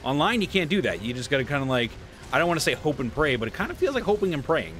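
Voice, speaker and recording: A man's voice: on the high side, sing-song, quick pace, a bit bright, soft background music. Chatty man streaming a game, slightly stuffy. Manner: chatty, rambling, talks to the game monster. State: a little stuffy. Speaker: gaming streamer. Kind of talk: casual gameplay commentary. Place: home room, seated at desk.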